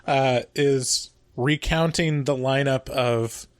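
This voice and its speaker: A man's voice: mid-range voice, steady tone, slow pace, no room sound. A man of forty co-hosting a casual podcast chat, laid-back and joking, laughing often. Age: 40s. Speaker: podcast co-host.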